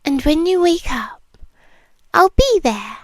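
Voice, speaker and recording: Slow whispering British demon girlfriend played by a woman. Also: extremely high pitch, sing-song, no room sound, full character voice. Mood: hushed, lulling. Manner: slow, breathy, drawn-out, soothing yet possessive. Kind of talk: ASMR roleplay, intimate soft whispering. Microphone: close microphone, dry.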